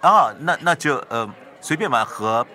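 A man's voice: mid-range voice, animated, moderate pace, pushed and bright. A man playing a nervous, loyal servant in a radio drama, hesitant and stammering, with a Singaporean accent. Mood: flustered, evasive. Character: nervous, flustered loyal male household servant.

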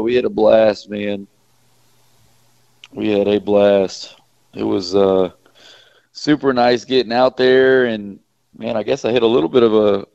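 A hoarse man, a fishing guide calling into a radio show, who talks in upbeat, quick bursts. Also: lowish voice, a little mellow, no room sound. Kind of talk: casual phone chat. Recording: dry, dull phone line.

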